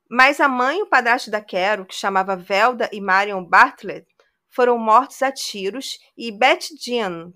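Woman podcast host, bright tone, chatty crime storyteller. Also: a little high. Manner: conversational retelling with frequent "né".

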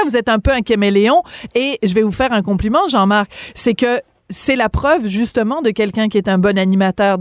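A woman's voice: on the high side, darkish tone, no echo, studio quality. A woman born in France hosting a radio interview, direct and teasing in manner. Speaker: host.

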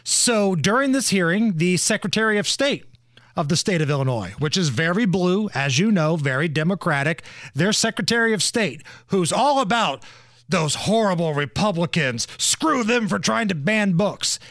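A man's voice: high-pitched, lively intonation, moderate pace, a bit bright, no echo, clean studio sound. A man hosting a talk radio show with opinionated, emphatic commentary.

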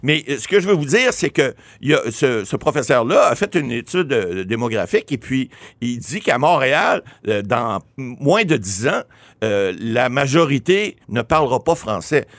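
A man with a Québécois French accent, a lawyer commenting on a talk show, animated and often restarting his words. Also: medium pitch, moderate pace, dry recording.

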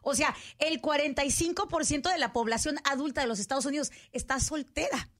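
Woman, 40s, high voice, chatty podcast host. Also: brisk, a bit bright. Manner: chatty, mixes in English words. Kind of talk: casual chat.

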